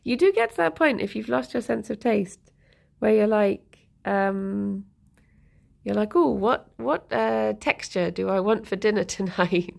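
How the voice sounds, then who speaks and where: Girl streamer rambling to chat, lots of giggles, bit of a gravelly fry in her voice. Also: medium pitch, expressive, unhurried, darkish tone. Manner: chatty and rambling, laughs often. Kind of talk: casual live-stream chat.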